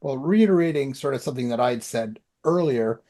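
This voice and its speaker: A man's voice: medium pitch, lively intonation, a little fast, dark tone. A man chatting as a podcast host in an informal, loosely anecdotal, conversational way. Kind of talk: casual conversational chat.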